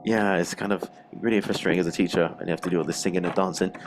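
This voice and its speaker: A man with a rough, strained, unwell voice chatting casually and slangily as co-host of a gaming show. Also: low-pitched, steady tone, rapid-fire, a little hiss or hum. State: rough, strained voice from a throat infection. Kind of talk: casual chat.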